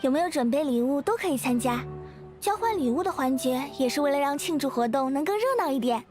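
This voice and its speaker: A woman voicing a gentle, modest and friendly young woman in an anime game, speaking politely and earnestly. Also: high-pitched, animated, fast talker, faint music behind. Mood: warm, reassuring. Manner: polite, soft-hearted, earnest.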